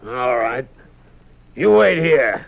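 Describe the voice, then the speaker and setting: An actor playing a gruff, angry, suspicious ship's captain with blustering indignation in a 1950s radio drama. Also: male voice, medium pitch, sing-song, slow, exaggerated voice. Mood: grim, furious. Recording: noisy, muffled 1950s radio recording.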